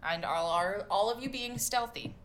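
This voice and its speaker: A woman running a tabletop game as game master, telling the scene in a relaxed, playful way. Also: medium pitch, moderate pace, slight background noise.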